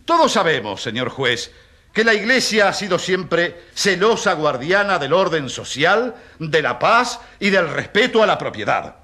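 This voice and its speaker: A man's voice: high voice, big pitch swings, measured pace, pushed and bright, heavily acted. A man playing an indignant, pompous prosecutor in a radio courtroom drama, oratorical and sarcastic, with emphatic rhetorical questions. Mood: challenging. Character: indignant, pompous male prosecutor in a church trial.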